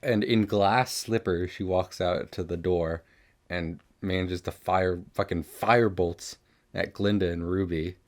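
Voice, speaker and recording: Casting: young male podcast host with a low voice, chatty and rambling, loose with swearing. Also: steady tone, measured pace. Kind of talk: casual chatty recap of an animated series.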